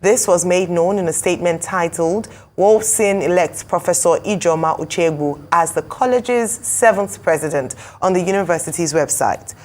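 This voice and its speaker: A woman's voice: on the low side, normal speed, few pauses. A woman from Nigeria anchoring a TV news interview in a formal, polished manner. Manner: formal, warm praise. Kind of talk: broadcast interview.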